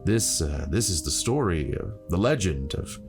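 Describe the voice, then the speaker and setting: A kind, fatherly monk, played by a male narrator, talks in a low, gentle and earnest voice. It is a fantasy audio story with music underneath. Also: very animated, moderate pace. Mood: astonished, earnest.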